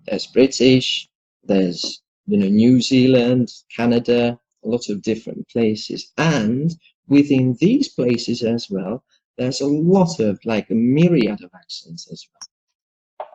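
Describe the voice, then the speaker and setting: A man's voice: medium pitch, takes it slowly, warm tone. A man hosting an English lesson, chatting casually and listing many examples as he agrees with his co-host. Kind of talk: casual conversation. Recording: dull lo-fi audio.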